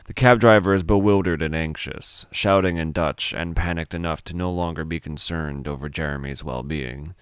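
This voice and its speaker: An extremely low-voiced man narrates a dramatic story. His voice is smooth and resonant, recorded close and dry. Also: moderate pace, hardly any pauses, no room sound.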